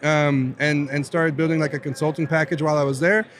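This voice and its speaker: Podcast guest: young man from Southern Virginia, slightly higher voice, relaxed and chatty, asks "right?" a lot. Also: fairly quick. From Southern Virginia, USA. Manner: relaxed, laughs often, fillers like "right?". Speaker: podcast guest, recruiting founder. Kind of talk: casual interview chat.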